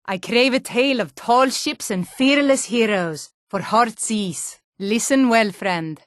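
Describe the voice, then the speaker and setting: A woman's voice: on the high side, slow, no room sound, clean studio sound. A woman playing a proud, hearty Nord warrior in a fantasy game, declaiming solemnly in lofty, archaic, bardic phrases.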